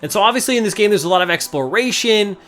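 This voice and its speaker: A man's voice: sits high, expressive, fast, a bit bright. A man giving casual, conversational gameplay commentary as the host of a video game series. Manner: informal, conversational. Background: game music.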